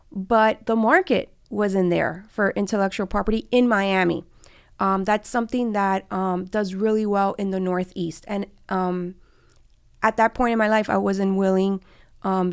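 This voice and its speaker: A woman's voice: medium pitch, creaky, clear, resonant tone, no room sound, studio quality. A woman in her forties from Cuba, telling her own story conversationally as an interview guest. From born in Cuba, came to the US as a child. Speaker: guest, immigration lawyer and law firm partner.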